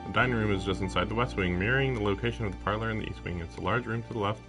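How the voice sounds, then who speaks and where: A man with a low voice reads visual novel narration aloud on a game stream. Game music plays clearly behind him. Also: very fast.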